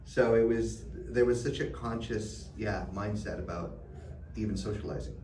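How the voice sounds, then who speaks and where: Reflective middle-aged man, lowish voice. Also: moderate pace, a little mellow. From Canada. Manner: reflective, many fillers and restarts. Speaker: guest. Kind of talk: conversational interview answers. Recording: roomy, distant mic, background noise.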